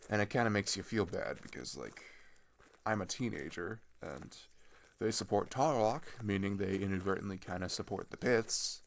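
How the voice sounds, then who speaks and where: Low, creaky, chatty teenage guy played by a man. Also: moderate pace, slight background noise. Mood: hurt, resigned. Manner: hesitant, conversational, trailing off. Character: chatty, earnest, disillusioned male teenager on a journey. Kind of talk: ASMR roleplay, naturalistic improvised-sounding monologue.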